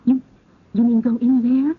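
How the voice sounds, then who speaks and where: A woman's voice: level tone, normal speed, slightly rough. A woman playing an anxious, timid young wife in an old-time radio drama, speaking in a hesitant, faltering way. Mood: nervous. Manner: hesitant, faltering, breathless pleading. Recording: dull, narrow old radio recording, rumble.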